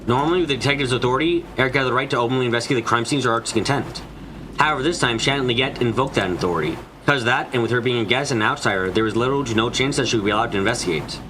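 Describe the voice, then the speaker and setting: A man's voice: medium pitch, rapid-fire, background noise. A man reading dramatic scripted narration of a story, as in a fan dub of a visual novel. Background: background music.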